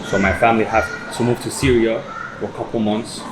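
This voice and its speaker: Young guy, 19, chill and repeaty, talks kinda low, noisy room. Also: flat delivery, fairly quick. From Iraq, raised in Canada. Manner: easygoing, repeats himself, says "bro". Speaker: guest. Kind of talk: casual interview chat. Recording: noisy, air conditioning hum.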